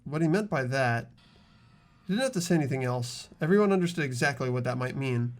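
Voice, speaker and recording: Animated game narrator with swinging pitch. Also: fairly quick. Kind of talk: visual novel narration and character reading.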